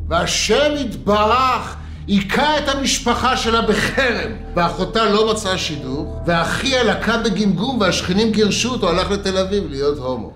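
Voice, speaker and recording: A man's voice: high voice, lively intonation, fairly bright tone, reverberant, faint music behind. A man playing a sinister, sanctimonious rabbi who makes threats through parables, telling them in a theatrical, menacing, mock-pious way. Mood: storytelling.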